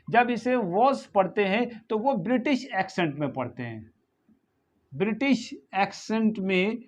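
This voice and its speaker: Indian male tutor with a high voice. Repetitive classroom delivery that spells out each word. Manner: slow, repetitive, spells words letter by letter and quizzes the viewer. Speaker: English pronunciation teacher. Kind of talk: slow spoken lesson mixing Hindi and English.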